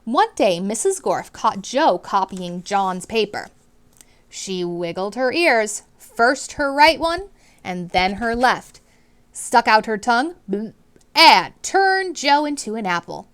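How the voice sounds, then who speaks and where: A woman teacher reading aloud to children in an expressive storytime style, stressing key words dramatically and putting questions to her listeners. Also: on the high side, slow.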